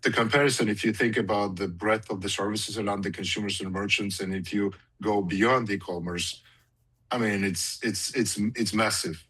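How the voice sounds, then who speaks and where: Low-voiced, husky male executive. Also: fairly even intonation, moderate pace, fairly bright tone, poor audio. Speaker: company executive. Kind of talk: unscripted answer on an earnings call.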